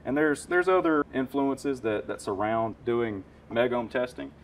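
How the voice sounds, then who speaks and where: A man's voice: medium pitch, a little slow, far from the mic, a little hiss or hum. A man in his thirties, an HVAC technician, explaining tools in casual, enthusiastic shop talk with lots of fillers. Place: warehouse parts room with shelves.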